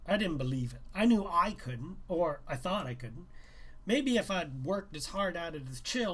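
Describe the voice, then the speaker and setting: A man's voice: high-pitched, a bit bright. A man doing an informal live reading of his young adult novel as its author, a little hesitant when chatting. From Canada. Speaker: author reading own book aloud.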